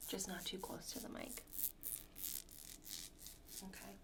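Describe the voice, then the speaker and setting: A woman playing a polite, hesitant presenter in a soft-spoken ASMR roleplay, breathy and often near-whispering into close microphones. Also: medium pitch, little pitch movement, takes it slowly, noisy background. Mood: soft, tentative. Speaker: ASMR performer. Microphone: close sensitive ASMR microphones. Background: tapping and clicking of handled objects.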